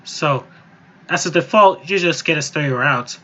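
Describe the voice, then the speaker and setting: A man giving a casual, improvised software tutorial, explaining step by step in an informal, rambling way. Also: on the high side, moderate pace, lo-fi sound. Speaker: music software tutorial presenter. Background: voice-over on a screen recording.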